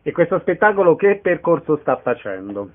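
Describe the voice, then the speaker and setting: A man's voice: a little high, sing-song, moderate pace. Male interviewer on a phone-like line. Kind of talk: short interview questions. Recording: narrow telephone-like sound.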